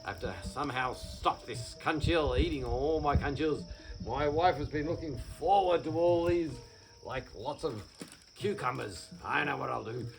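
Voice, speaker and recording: A man voicing a worried farmer in a told story, muttering low and fretting over his crops. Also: normal speed, creaky, music in the background, poor audio. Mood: fretful. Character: grumbling worried male farmer.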